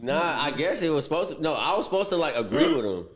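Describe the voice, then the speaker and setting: A man's voice: on the high side, expressive, fast. A young man casually telling a story on a podcast, laid-back and laughing as he goes. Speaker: podcast guest.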